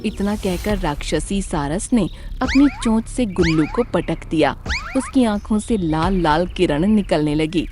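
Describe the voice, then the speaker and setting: A woman's voice: fairly low pitch, expressive, measured pace, over music. A woman narrating a scripted children's animal cartoon story.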